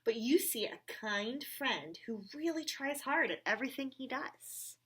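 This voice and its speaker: A woman reading the part of a kind, supportive father in a children's storybook, gently encouraging his child. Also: high voice, animated, measured pace, breathy edge, voice pushed up, heavily acted. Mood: proud, encouraging.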